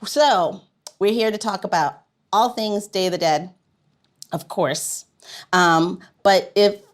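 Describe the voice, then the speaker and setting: Playful lady podcast host, chatty, pitch bounces all over the place. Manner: playful, expressive. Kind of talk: casual chatty podcast hosting.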